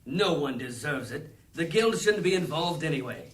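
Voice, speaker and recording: A male actor plays a principled priest in a fantasy audio drama. He grumbles with earnest disapproval, his voice sitting a little high for a man. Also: expressive, moderate pace, close to an everyday voice. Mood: disapproving.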